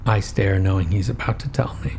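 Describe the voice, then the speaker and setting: A man narrates a horror story in the first person. His voice is low and stays very even, with a faint noise behind it. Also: brisk, creaky.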